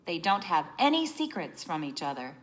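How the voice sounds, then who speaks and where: A woman reading a children's book aloud in an expressive, teacherly way, explaining as she narrates. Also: lowish voice, moderate pace.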